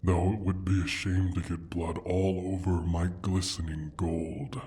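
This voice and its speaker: Guy doing a big menacing hurt dragon, super husky n breathy, talks real slow, asmr fantasy roleplay. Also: low voice, level tone, warm tone, exaggerated voice. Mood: musing, threatening. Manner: slow, deliberate, menacing, drawn-out phrasing. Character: injured, menacing male dragon guarding his gold hoard.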